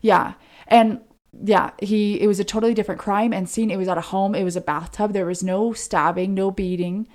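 A woman's voice: medium pitch, steady tone, fairly quick, darkish tone. An American woman co-hosting a podcast, telling a true-crime case in a conversational way. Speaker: podcast co-host.

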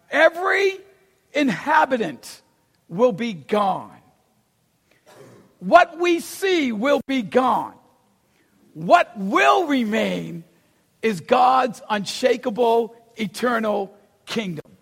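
A man with a Boston accent preaching a sermon, telling stories with gasps and acted-out lines and shouting for emphasis. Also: very high voice, gravelly, husky. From Boston, USA. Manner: animated storyteller, acts out gasps and quotes, shouts for emphasis. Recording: long hall reverb.

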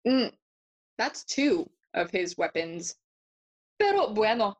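A woman playing a brooding, mocking young Shakespearean prince in an audio play, theatrical and deliberate, moving between English and Spanish. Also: medium pitch, very animated, dull audio. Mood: wry, challenging.

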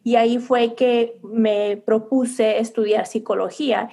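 A middle-aged woman with a high voice tells her story as a guest. She speaks earnestly and reflectively, often restarting her phrases. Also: flat delivery, takes it slowly, creaky, mellow. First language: Spanish. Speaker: psychologist and coach, guest. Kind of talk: conversational interview, personal storytelling.

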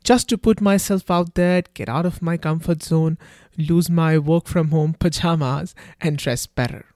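Indian-accented man, dark mellow tone. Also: a little high, unhurried, no echo, studio quality. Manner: wry, conversational storytelling. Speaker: podcast host. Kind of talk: scripted podcast intro.

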